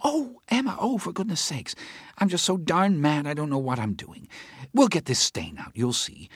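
An exasperated, sarcastic woman in an audiobook, as a male narrator raises his voice to play her. She talks in heated, scornful rants, and her pitch lifts well above his own low register. The sound is close and dry. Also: sing-song, slightly rough, dry recording. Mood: flustered. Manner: indignant, rapid sarcastic rants. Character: agitated outspoken adult woman friend. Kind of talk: audiobook character voice.

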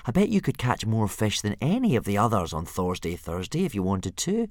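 A man's voice: fairly low pitch, sing-song, fairly quick, no echo, clean studio sound. A man voicing a frustrated boy trying to train his stubborn dragon. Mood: reasoning. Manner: pleading, exasperated.

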